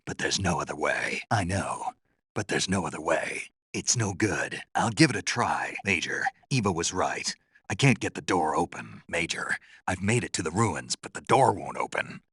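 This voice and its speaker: A man playing a gruff, terse American soldier on a covert mission in a video game, with a low, gravelly, husky voice. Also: very animated, a bit bright, dry recording, full character voice. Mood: businesslike. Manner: terse, deliberate, dry. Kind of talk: dramatic game voice acting.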